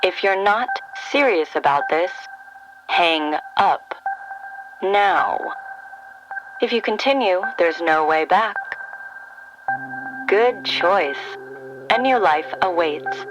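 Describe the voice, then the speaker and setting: A woman playing the calm, formal answering-machine voice of a secretive agency in an audio drama. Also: lowish voice, low-quality recording. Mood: cool, ominous. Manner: measured, ominously formal. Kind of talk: scripted phone message. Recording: music behind phone message.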